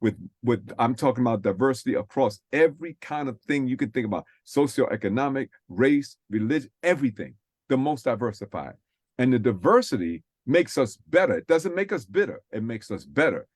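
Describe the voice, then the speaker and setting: A man talks earnestly in a preacherly way and leans on repeated phrases. His mid-pitched voice sounds dry and close, with no room around it. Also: expressive, brisk, no echo. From New York City. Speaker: radio show host. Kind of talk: conversational faith talk.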